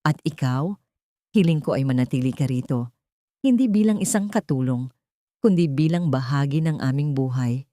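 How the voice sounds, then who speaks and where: A woman voicing a remorseful, pleading father in an audiobook drama, gentle and halting in manner. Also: extremely low pitch, no echo, clean studio sound. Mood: earnest pleading. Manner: soft, halting, emotional.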